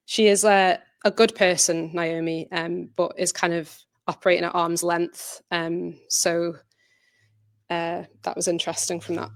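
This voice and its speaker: A woman's voice: on the low side, dry recording. A woman giving an informal behind-the-scenes talk as a drama director, in a hesitant, conversational way that often rephrases. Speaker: director and writer of an audio drama, presenter.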